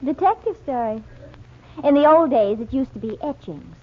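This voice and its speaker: A woman's voice: sits high, expressive, moderate pace. A woman playing an inquisitive, teasing wife and amateur sleuth with a pert, probing manner in a 1940s radio mystery. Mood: suspicious, teasing. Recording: muffled, narrow-band 1940s radio recording.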